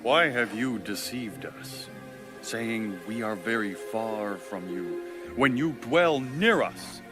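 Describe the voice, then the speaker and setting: An actor playing a stern, grave and commanding leader in a dramatized scripture reading. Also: male voice, fairly low pitch, expressive, takes it slowly, music in the background. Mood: stern, accusing.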